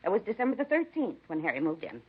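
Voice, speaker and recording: A woman's voice: medium pitch, very animated, fast, husky. An actress playing a nosy, prim, chatty neighbor woman who rambles fussily in a 1950s radio drama. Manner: rambling, fussy, gossipy. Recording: muffled 1950s radio recording.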